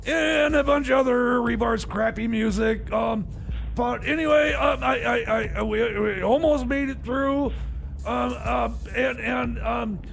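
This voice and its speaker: Very high, creaky, flustered man playing a radio DJ. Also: faint music behind, exaggerated voice, low-quality recording. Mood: hesitant, resigned. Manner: halting, stammering, unsure. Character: flustered hapless male time machine mechanic stand-in DJ. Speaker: radio show DJ. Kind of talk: comedy radio theater, rambling DJ patter.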